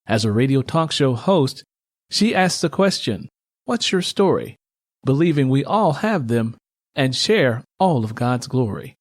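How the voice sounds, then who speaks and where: A man's voice: mid-range voice, very animated, unhurried, no echo, clean studio sound. A man hosting a podcast and interviewing a guest, who also works as a book narrator. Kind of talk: scripted show intro and interview.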